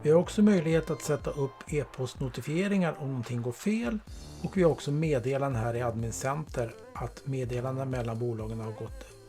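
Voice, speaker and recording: A man narrating a scripted software tutorial as a product presenter, in a plain, explanatory way. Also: mid-range voice. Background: background music.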